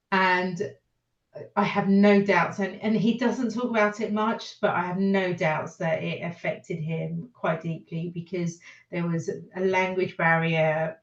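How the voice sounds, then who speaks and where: British woman, slightly low voice, reflective, hesitant, personal storytelling, echoey and dull lo-fi recording. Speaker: podcast guest. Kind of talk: personal storytelling in a panel discussion.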